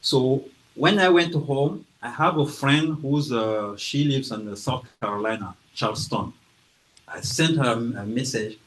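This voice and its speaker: A man from Guinea with a French accent talks to a library audience about his book. He speaks slowly and carefully, with frequent hesitations. He acts out quotes as he tells the story, in a voice of ordinary pitch. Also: fairly bright tone, poor audio. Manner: slow, careful delivery with hesitations and acted-out quotes. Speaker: author presenting his book. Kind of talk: informal talk to an audience. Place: library event room.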